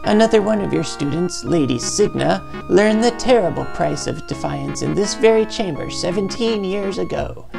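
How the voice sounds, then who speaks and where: A woman's voice: on the low side, expressive, normal speed, gravelly, few pauses, music in the background, voice pushed up, poor audio. A woman voicing a stern, grave fantasy guild elder in solemn, formal speech, as in game dialogue. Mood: grave, pronouncing. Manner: solemn, formal, archaic diction.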